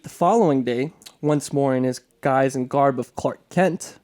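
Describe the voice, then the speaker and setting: A man's voice: mid-range voice, unhurried, a little mellow. A man reading dramatic scripted narration for a radio play, now and then stumbling over a word. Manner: stumbles and repeats words while reading.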